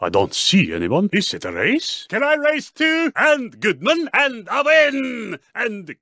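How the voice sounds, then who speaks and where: A man voicing a cheerful, cocky Swedish rally car in a video game, with a Swedish accent and a playful, exclamatory, drawn-out way of talking. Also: extremely high pitch, huge pitch swings, pushed and bright, no echo, clean studio sound, exaggerated voice. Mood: upbeat, eager. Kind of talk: animated video game character voice clips.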